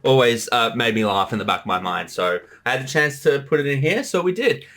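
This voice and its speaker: A show creator giving casual, chatty commentary in an animated way, often slipping into quoted voices. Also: mid-range voice, brisk.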